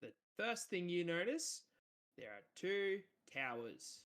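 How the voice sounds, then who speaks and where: A man narrating as game master of a tabletop role-playing game, casual and jokey, with a big booming voice. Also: very animated, takes it slowly, a bit bright.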